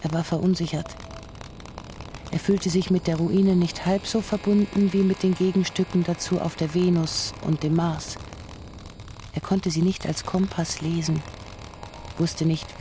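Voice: medium pitch, mellow, slight background noise. A narrator reading a radio drama in a scripted, literary storytelling style. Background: music.

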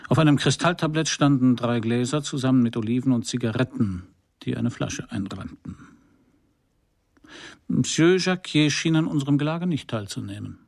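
An actor playing a laconic, courteous Parisian private detective in a radio crime drama, speaking and narrating with a dry, gravelly, husky voice. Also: male voice, medium pitch, moderate pace, no room sound. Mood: dry, wry. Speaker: detective and first-person narrator.

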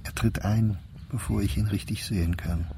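A man reading diary entries aloud for a radio drama in a measured, reflective, understated way. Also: lowish voice, steady tone, creaky, warm tone, slight background noise.

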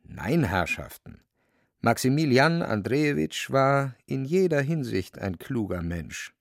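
Animated male narrator, gravelly and dry-recorded. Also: mid-range voice, unhurried, no room sound. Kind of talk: dramatic literary radio play narration.

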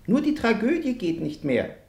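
An actress playing a cheeky young comic player in a radio drama, pert and pleading, in an echoey room. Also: female voice, mid-range voice, very animated, moderate pace, dark tone. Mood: cheeky, complaining. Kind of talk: German radio drama acting.

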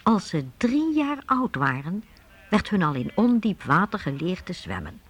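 A woman narrating a radio play as a deliberate, expressive storyteller reading a script. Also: lowish voice, breathy edge.

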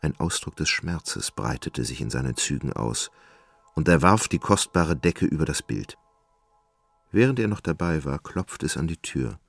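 A man narrating a literary radio drama in a measured, solemn manner. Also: rumbling low, lively intonation, vocal fry, no room sound, soft background music.

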